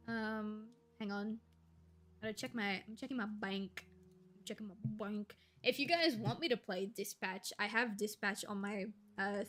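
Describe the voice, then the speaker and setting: A young woman, chatting casually and rambling to viewers on a live stream, with a slight gravel in her voice when she talks quietly. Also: medium pitch. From Australia. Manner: chatty, rambling, laughs easily, thinks aloud.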